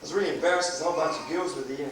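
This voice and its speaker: Middle-aged New man, high-voiced storytelling preacher, distant mic in a booming hall. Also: very fast, very noisy. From New Zealand. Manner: storytelling, chuckling, animated. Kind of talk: sermon with personal story. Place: church hall. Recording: echoey hall recorded from afar.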